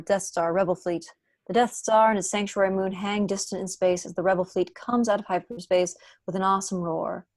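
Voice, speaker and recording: A woman's voice: on the low side, a little mellow, dry recording. Young woman reading stage directions. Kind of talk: scripted narration at a table read. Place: room at home, video call.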